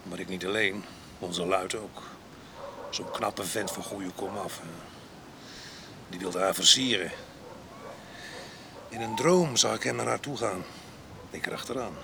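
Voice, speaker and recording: Gravelige, ruwe ex-gevangene, ruisige hoorspelopname. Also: male voice, fairly low pitch, unhurried, long pauses, background noise. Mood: bitter storytelling. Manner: coarse, domineering, mocking. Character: rough, jealous ex-convict husband with a violent past. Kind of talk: radio drama acting.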